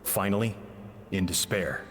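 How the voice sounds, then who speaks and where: An actor playing a regretful man who mourns an estranged friend, narrating in a measured, solemn way for a video game. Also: deep voice, fairly bright tone. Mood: grieving. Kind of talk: scripted dramatic narration of a written confession. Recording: long hall reverb, music behind.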